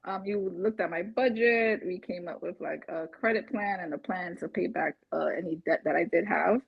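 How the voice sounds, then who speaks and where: A woman from Barbados with a husky voice, talking casually and chattily, as in a testimonial interview. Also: lowish voice, moderate pace, no room sound. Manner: chatty, laughs often while talking. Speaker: interviewed client, first-time home buyer. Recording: dull, muffled lo-fi audio.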